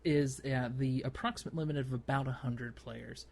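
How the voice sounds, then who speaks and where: A man's voice: medium pitch, fairly even intonation, normal speed, gravelly. A man hosting a gaming podcast, explaining things in a casual, conversational way, with faint noise behind him. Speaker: podcast host.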